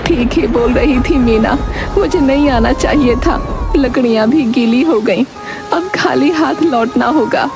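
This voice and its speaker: A woman playing wronged, poor village women, plaintive and righteous, in an animated moral story. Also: high-pitched, a little slow, over music. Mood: worried, weary. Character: poor wronged village widow and her daughter.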